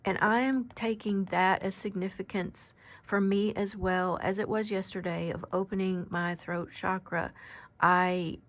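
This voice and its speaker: A very soft-spoken woman in her fifties, giving an unscripted oracle card reading with gentle, halting delivery and frequent pauses. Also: medium pitch, steady tone. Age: 50s.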